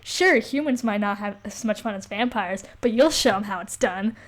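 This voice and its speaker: A woman's voice: medium pitch, moderate pace. A young woman co-hosting a podcast in casual banter, with a giggly, wry and playful manner. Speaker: podcast co-host. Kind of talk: casual banter, reading quizzes aloud.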